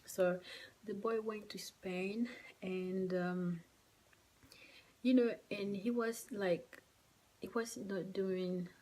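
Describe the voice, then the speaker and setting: A young woman who speaks English as a second language, telling a personal story to camera in a halting, soft, emotional way with many fillers. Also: mid-range voice, fairly even intonation, low-quality recording. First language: not English. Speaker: vlogger. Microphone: phone or camera mic.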